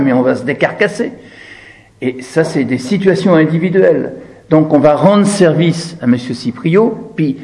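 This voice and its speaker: A man's voice: a little high, darkish tone, poor audio. A man giving an explanatory talk as a lecturer, didactic, posing questions and answering them, repeating key phrases for emphasis. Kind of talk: explanatory talk, commenting on quoted text.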